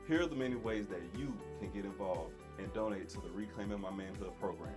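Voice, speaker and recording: A man, the founder of a charity, reading an earnest, formal, scripted appeal. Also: lowish voice. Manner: measured, earnest, formal delivery. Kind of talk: scripted appeal over music. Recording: appeal video with music bed.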